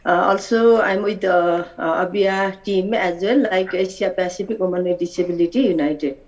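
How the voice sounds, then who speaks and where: A woman's voice: lowish voice, normal speed, a little mellow, muffled recording. Nepali woman introducing herself, bit hesitant, sounds far from the mic. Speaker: advocacy representative.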